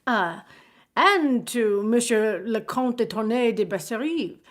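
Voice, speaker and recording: A woman's voice: fairly high pitch, lively intonation, slow pace. A woman voicing a jovial young lord in a storybook, hearty, gallant and formally courteous. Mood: merry. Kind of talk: dramatized storybook reading.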